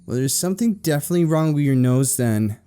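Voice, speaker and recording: A man's voice: a little high, animated, fast, warm tone, clear, resonant tone, soft background music, pitched above the performer's own voice, close to an everyday voice. A man voicing an irritable, bossy older sister in a horror story, grumpy, defensive and dismissive. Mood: defensive, stiff.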